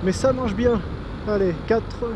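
High-voiced Frenchman talking over loud noise. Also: measured pace. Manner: enthusiastic, informal, thinking aloud. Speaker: motovlogger. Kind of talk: casual vlog commentary while riding a motorcycle. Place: outdoors on a moving motorcycle on country roads. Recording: noisy outdoor recording with engine and wind.

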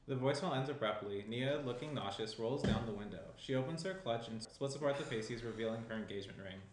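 A man's voice: medium pitch, quick pace. A young man reading scripted screenplay narration as the narrator. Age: young adult. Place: small indoor room at a round table. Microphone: shared desk microphone. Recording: roomy echo, faint noise.

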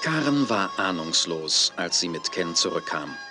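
A man's voice: deep voice, big pitch swings, measured pace. A man reading scripted storybook narration as the narrator of a children's audio play. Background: music.

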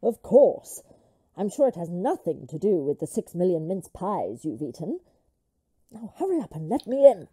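An actress playing an impatient, sardonic companion with a husky voice and dry delivery in a comic audio pantomime. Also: female voice, on the low side, big pitch swings, normal speed, warm tone. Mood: exasperated. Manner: dry, sarcastic.

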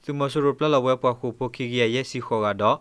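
Clear-voiced man reading radio news. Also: medium pitch, fairly even intonation, fast talker, a bit bright, no echo. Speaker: news presenter.

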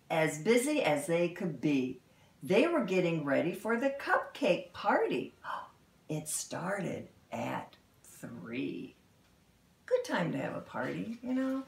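Animated, slow-paced woman reading to children. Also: fairly low pitch, creaky. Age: middle-aged. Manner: dramatic, expressive, pauses and exclaims. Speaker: storybook reader for children. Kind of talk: animated children's read-aloud with asides. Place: indoor room in a house. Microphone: camera a few feet away. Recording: roomy, echoey home recording.

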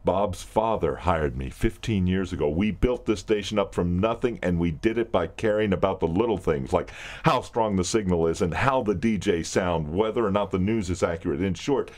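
Audio drama: male actor as exasperated program director, deep male voice, authoritative and curt. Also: moderate pace, hardly any pauses, a little mellow, lightly acted. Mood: stern. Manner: authoritative, clipped.

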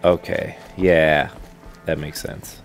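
A man's voice: very deep voice, level tone, takes it slowly. A man giving casual, laid-back let's play commentary as a gaming host, thinking aloud. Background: faint game music.

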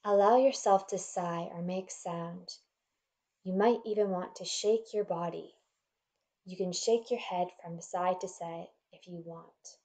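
A woman leading a guided meditation in a gentle, soothing, measured manner, leaving pauses between instructions. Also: on the low side, gravelly.

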